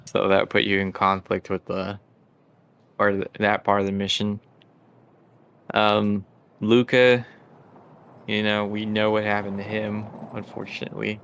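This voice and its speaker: A man with a low voice and noticeable vocal fry hosts a tabletop game as game master. He talks in a casual, conversational way with the group. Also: little pitch movement, a little slow, long pauses, fairly bright tone, a little hiss or hum.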